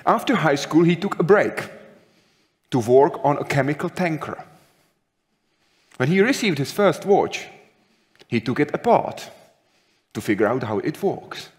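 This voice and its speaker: A man's voice: a little high, lively intonation, unhurried, long pauses. A young man acting as moderator, introducing a speaker in a dramatic, rehearsed way in a large hall. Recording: long reverb of a large hall.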